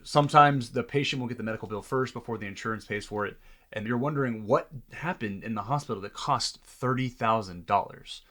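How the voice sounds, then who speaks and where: A man's voice: mid-range voice, fairly quick, fairly bright tone. A man speaking as a doctor in an earnest, reflective interview conversation. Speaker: doctor, podcast guest.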